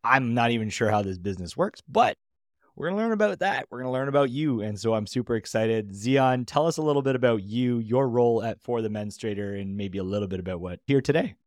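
A man's voice: medium pitch, expressive, quick pace, darkish tone, dry recording, studio quality. A man hosting a startup podcast, upbeat and candid as he asks questions. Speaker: podcast host. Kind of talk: casual podcast interview.

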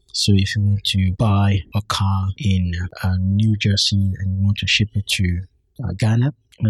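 A man's voice: on the low side, breathy edge, no room sound, poor audio. A man with a Nigerian Yoruba accent hosting an interview, asking questions and restating his guest's answers to check them. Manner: probing, restates guest's points. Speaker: podcast host. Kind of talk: conversational interview.

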